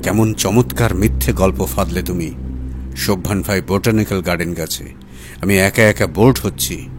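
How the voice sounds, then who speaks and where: Man acting a grave, scheming husband, low-voiced. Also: moderate pace, background noise, close to an everyday voice. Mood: bitter, accusing. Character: stern, scheming betrayed husband, well-off businessman. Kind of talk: acted audiobook dialogue.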